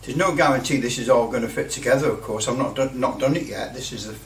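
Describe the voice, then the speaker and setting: A man's voice: mid-range voice, quick pace. Man in a roomy, distant recording. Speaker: hobbyist presenter. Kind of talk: casual hands-on workbench commentary. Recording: roomy, distant mic with light noise.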